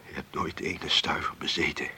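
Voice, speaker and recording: A man acting a gloomy, ailing, wealthy old uncle in a Dutch radio play, speaking haltingly, wearily and with resignation. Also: extremely low pitch, flat delivery, sharp tone, a little hiss or hum, full character voice. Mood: weary, fond.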